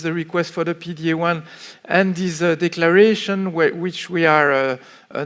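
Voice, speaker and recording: A man answering on a conference panel in a fairly high voice. He talks haltingly, stopping often for fillers and starting phrases over. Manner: hesitant, many fillers and restarts. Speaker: panelist from a public authority.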